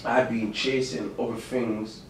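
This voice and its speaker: A man's voice: medium pitch, little pitch movement, a little slow. A man, hosting a podcast in a casual, slangy, agreeable way. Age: 20s. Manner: agreeable, many "mm"s, slang-heavy. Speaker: podcast host. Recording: echoey room, mic at a distance, faint noise.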